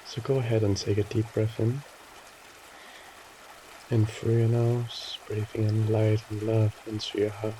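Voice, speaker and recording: A man narrating a guided meditation in a soothing, gently instructing way, with short phrases and pauses between them. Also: lowish voice, flat delivery, a little hiss or hum, poor audio.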